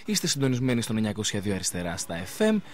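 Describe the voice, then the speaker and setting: A man hosting a live radio interview in speech, chatting informally with pauses as he goes. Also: fairly low pitch, sing-song. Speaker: radio show host.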